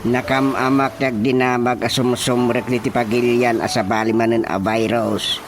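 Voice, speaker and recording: A man's voice: medium pitch, flat delivery, normal speed, noisy background, lightly acted. A man with an Ilocano accent playing a cautious villager in a Filipino radio drama. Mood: concerned.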